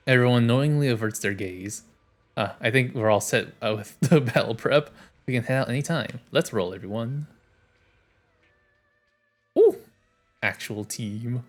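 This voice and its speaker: A man streaming a game, reading its dialogue aloud and adding casual commentary. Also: medium pitch.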